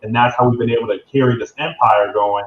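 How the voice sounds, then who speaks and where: A man's voice: mid-range voice, fairly even intonation, quick pace. Young man, roomy lo-fi sound. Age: 20s. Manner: confident, salesy, uses "right?" as a tag. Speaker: interview guest, young business executive. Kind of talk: conversational interview answer, explanatory pitch. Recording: dull lo-fi sound, roomy echo, faint noise.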